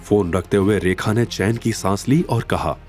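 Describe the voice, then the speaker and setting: A man's voice: on the low side, moderate pace, darkish tone, faint music behind. A man narrating a scripted audio drama in a dramatic storytelling style.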